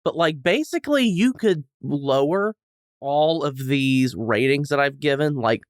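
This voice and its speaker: Male podcast guest, casual comedic chat, self-deprecating, rambling, highly varied intonation, dry recording. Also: expressive, a little slow, dry recording.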